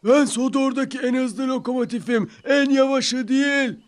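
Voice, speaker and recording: A man's voice: very high voice, steady tone, moderate pace, voice pushed up. A man voicing a big, proud male express engine who speaks boastfully and indignantly in a cartoon.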